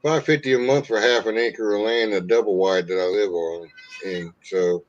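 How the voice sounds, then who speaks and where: Man late 50s, low voice, casual chat, muffled audio with some noise behind. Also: steady tone, normal speed. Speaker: beekeeper, livestream guest. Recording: dull lo-fi audio, background noise.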